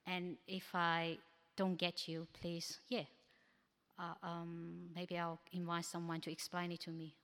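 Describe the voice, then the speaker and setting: Woman speaker, English not her first language, lowish voice, hesitant practical tips, long hall reverb. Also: monotone, a little slow, fairly bright tone, smooth. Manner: practical, advice-giving, with frequent hesitations. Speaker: speaker at a church ministry training. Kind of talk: informal presentation with slides.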